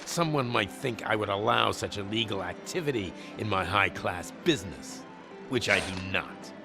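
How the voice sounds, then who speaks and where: A smug sleazy arcade boss. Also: male voice, lowish voice, expressive, moderate pace, creaky, music in the background. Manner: sarcastic, taunting. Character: smug sleazy male arcade owner, mocking.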